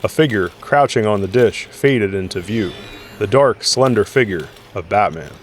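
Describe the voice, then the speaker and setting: Low-voiced male narrator over faint ambience. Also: expressive, normal speed, slight background noise. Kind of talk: scripted dramatic story narration.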